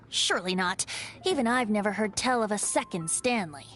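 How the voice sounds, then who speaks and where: A woman's voice: medium pitch, lively intonation, normal speed, a bit bright, faint music behind, lightly acted. A woman voicing a playful, whimsical young male bard in a video game, lilting and slyly teasing. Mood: amused.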